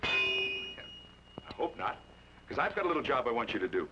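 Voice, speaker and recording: An actor playing a tough outlaw who talks tersely and gives orders, in a 1940s radio drama. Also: male voice, medium pitch, big pitch swings, moderate pace, background noise, natural voice. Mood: commanding. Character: tough outlaw gunman, adult man, blunt. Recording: dull old radio, heard from a distance, music behind.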